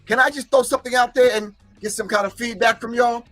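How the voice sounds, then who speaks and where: A man with a high, bright voice hosts a casual livestream, speaking in an emphatic, preachy way and talking back to the chat. English is not his first language. Faint music plays behind him. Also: steady tone, fast. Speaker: host.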